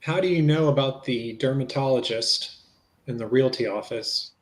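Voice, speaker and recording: A man's voice: mid-range voice, moderate pace, close to an everyday voice. A man reading the part of a police interviewer in a dramatic transcript reading, asking conversational, matter-of-fact questions. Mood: probing, matter-of-fact.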